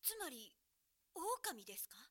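Actress doing serious news anchor woman, formal, high bright voice, dry sound. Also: very animated, dry recording, full character voice. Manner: formal, measured. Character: composed, serious female television news anchor. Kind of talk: studio news reading, acted.

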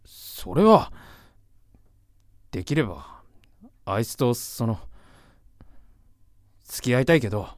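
An actor playing an insecure, earnest young college student in love, with hesitant, emotional boyish speech in a Japanese voice drama. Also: male voice, medium pitch, sing-song, slow pace, pauses often, no room sound, clean studio sound. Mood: hesitant, embarrassed. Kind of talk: Japanese audio drama acting.

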